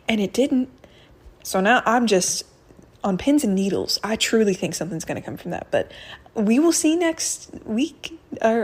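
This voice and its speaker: A woman's voice: fairly high pitch, darkish tone. A woman listener rambling enthusiastically in a casual voice message to a podcast.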